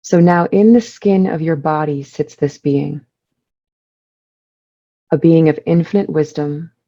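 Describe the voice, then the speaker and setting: A woman leading a guided meditation, giving soothing instructions in measured short phrases with pauses between them. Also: low voice, steady tone, warm tone, dull audio.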